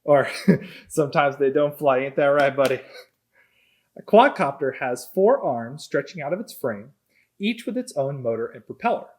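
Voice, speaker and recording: A man's voice: medium pitch, lively intonation, moderate pace. A man in his thirties reading a children's book aloud in a workshop. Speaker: robot combat team member. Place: robot workshop, indoors.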